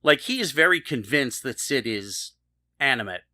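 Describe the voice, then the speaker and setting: Guy on a podcast, casual halting critique, swingy intonation, really dry close sound. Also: medium pitch, big pitch swings, sharp tone, no room sound. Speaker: podcast co-host. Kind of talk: casual chat, TV episode discussion.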